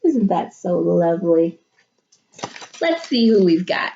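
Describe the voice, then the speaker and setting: A woman teacher reading a picture book aloud to children and talking them through it, with expressive, dramatic delivery and drawn-out emphasis. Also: on the low side, gravelly, highs cut off, slight background noise. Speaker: elementary school teacher.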